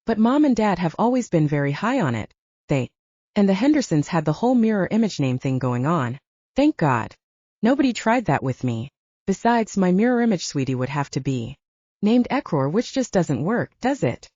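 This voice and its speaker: A woman's voice: lowish voice, big pitch swings, moderate pace, mellow, dry recording, muffled recording. A woman narrator voicing a cheeky, blunt younger male werewolf in an audiobook. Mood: wry.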